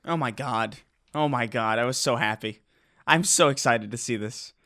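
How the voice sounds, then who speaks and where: A young man co-hosting a podcast in casual chat, talking excitably and restarting his sentences often. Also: medium pitch, normal speed. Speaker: podcast co-host.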